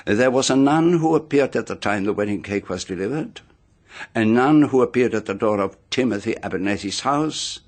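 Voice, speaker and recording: A self-assured, pompous detective in a radio mystery. His husky voice swings widely in pitch as he lays out each point with formal, deliberate grandeur. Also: lively intonation. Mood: methodical revealing. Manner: deliberate, formal, theatrical phrasing. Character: pompous, meticulous male private detective, theatrical and self-assured. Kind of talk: radio mystery drama acting.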